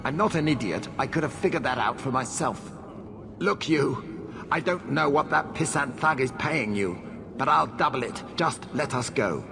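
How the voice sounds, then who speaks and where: A man's voice: medium pitch, expressive, normal speed, vocal fry. An actor playing an arrogant, irritable nobleman villain in a video game, speaking with open contempt. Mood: irritated, bargaining. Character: arrogant, cornered noble schemer. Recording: loud music behind. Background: game music.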